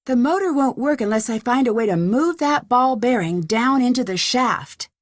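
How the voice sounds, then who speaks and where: A woman's voice: a little high, animated, moderate pace, no echo, studio quality, natural voice. A young woman playing a curious, plucky amateur detective in an adventure video game, thinking aloud to herself. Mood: intent, puzzling. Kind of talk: scripted adventure game voice lines.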